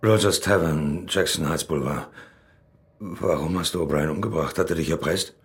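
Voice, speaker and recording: An actor playing a bitter, rattled, cornered accomplice in a radio crime drama, with a gruff, irritable manner. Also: male voice, extremely low pitch, normal speed. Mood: wary.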